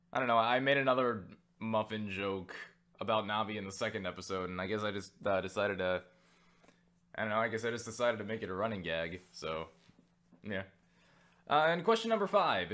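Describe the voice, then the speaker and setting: A man with a somewhat low voice works through viewer questions in a loose, offhand vlog. He rambles and jokes, swears casually, and makes fun of himself along the way. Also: fairly bright tone. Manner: rambling, joking and profane; self-deprecating. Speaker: YouTuber answering viewer questions.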